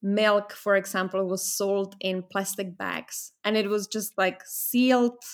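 A woman's voice: mid-range voice, flat delivery, a little slow. A woman in her thirties from the Czech Republic, a guest in a casual interview, telling stories thoughtfully with some restarts. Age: 30s.

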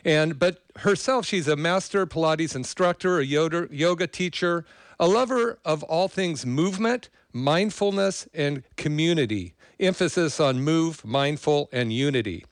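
A man's voice: fairly high pitch, measured pace, no room sound, clean studio sound. A man hosting a podcast interview, introducing his guest in a loose, rambling way with fillers. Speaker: podcast host.

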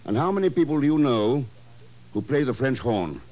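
An actor playing a cool, probing secret agent in an old radio drama, speaking in a measured, understated way. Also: male voice, medium pitch, big pitch swings, warm tone, lightly acted. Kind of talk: 1950s radio drama acting. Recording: slightly noisy 1950s radio recording.

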